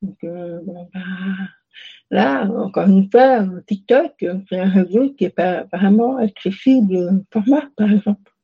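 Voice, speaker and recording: A woman's voice: mid-range voice, unhurried, gravelly, breathy edge, muffled recording. A young woman answering interview questions casually, hesitant, with many fillers and restarts. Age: young adult. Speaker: interview guest.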